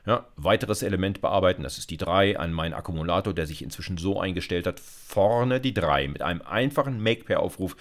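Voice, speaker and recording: A man's voice: low-pitched, a little fast, few pauses. A middle-aged man giving an informal programming lecture, explaining step by step and addressing listeners directly in a conversational way. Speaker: university lecturer. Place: indoor recording setup, screencast lecture.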